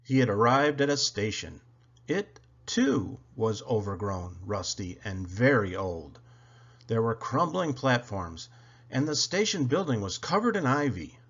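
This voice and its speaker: A man reading a children's story aloud with expressive, animated storytelling. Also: mid-range voice, a little slow, breathy edge, muffled recording. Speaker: storybook reader.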